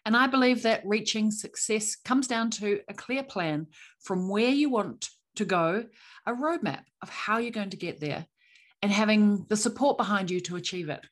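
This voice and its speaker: A woman's voice: mid-range voice, normal speed. A woman hosting a business podcast, reading an upbeat intro and then interviewing a guest. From New Zealand. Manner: upbeat, promotional delivery. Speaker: podcast host.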